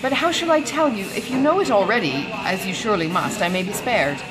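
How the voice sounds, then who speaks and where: A woman voicing a grave, honourable gentleman colonel in an audiobook, halting, earnest and formal. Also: mid-range voice, expressive, noisy background, natural voice. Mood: hesitant. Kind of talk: audiobook character dialogue.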